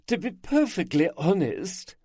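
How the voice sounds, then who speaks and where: A man's voice: measured pace, slightly rough, no echo, studio quality, full character voice. A man voicing a chatty, gossipy older woman, an aunt showing detectives around her gardens. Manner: lively, raised pitch, gossipy.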